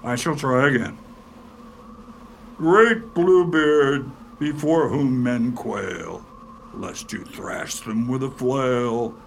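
Gravelly, bombastic sham necromancer. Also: male voice, mid-range voice, very slow, slight background noise. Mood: incanting, dramatic. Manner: grandiose, incantatory, rhyming. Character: bombastic sham necromancer chanting theatrical spells. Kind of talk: radio play acting. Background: music.

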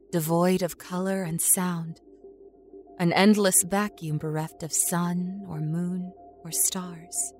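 A woman acting a haunted, introspective captive with no memory, narrating a horror audio story in a measured, brooding way. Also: lowish voice, lightly acted. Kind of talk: first-person scripted horror audio story. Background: music score under the voice.

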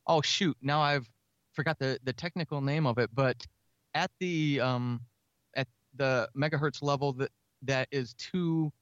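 A talkative, energetic man speaking casually as a guest in an interview about his creative work. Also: medium pitch, a little slow, smooth, no room sound, highs cut off. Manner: energetic, talkative, self-described extrovert. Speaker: audio drama writer and podcaster, interview guest.

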